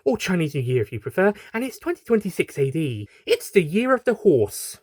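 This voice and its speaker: Bubbly, slangy young alien heroine for an audio story, played by a man, high-pitched and swooping, cheeky and sarcastic. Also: sing-song, brisk, a little mellow, breathy edge, no echo, clean studio sound, heavily acted. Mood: chirpy. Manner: bubbly, rambling, slangy and teasing. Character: hyperactive, cheerful, sarcastic young female time-travelling alien.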